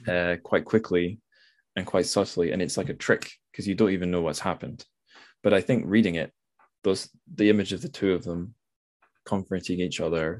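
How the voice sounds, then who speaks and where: A man hosting a casual literary discussion, thinking aloud and correcting himself as he goes. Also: low-pitched. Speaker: podcast host.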